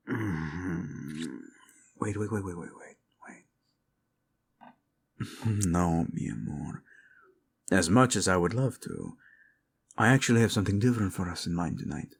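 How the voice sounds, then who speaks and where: A man playing a devoted, protective prince in a romantic audio roleplay, speaking intimately with formal phrasing, Spanish endearments and a husky voice. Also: deep voice, normal speed. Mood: gentle, fond. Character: devoted, protective young prince, tender lover.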